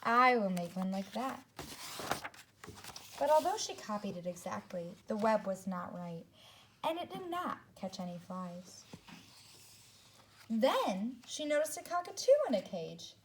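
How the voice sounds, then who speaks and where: Expressive woman reading aloud, unhurried and lively. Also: medium pitch, slight background noise. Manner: expressive, deliberate, addressing young children. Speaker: teacher reading aloud to second graders. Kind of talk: read-aloud storytelling with asides to children.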